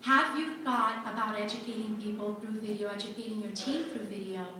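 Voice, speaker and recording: A woman agent from Cape Cod asking a question from the audience at a seminar in an energetic, chatty way. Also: medium pitch, fairly quick, slight background noise. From Cape Cod, United States. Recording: echoey hall, far from the mic.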